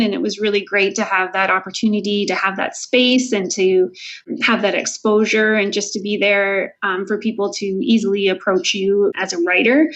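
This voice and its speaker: A woman's voice: mid-range voice, fairly bright tone, highs cut off. A woman from Southern Ontario with a Canadian accent, answering interview questions as an author in an earnest, enthusiastic, conversational way.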